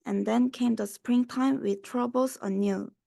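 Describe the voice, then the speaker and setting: A woman's voice: a little high, fairly even intonation, measured pace, vocal fry, mellow, no echo, clean studio sound. A young woman reading a children's rhyming story aloud. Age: young adult. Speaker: student reader.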